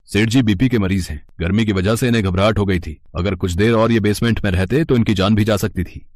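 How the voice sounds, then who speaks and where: A man acts a storybook doctor with a low voice. He is grave and matter-of-fact, explaining in scripted dialogue. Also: a little fast, darkish tone, close to an everyday voice.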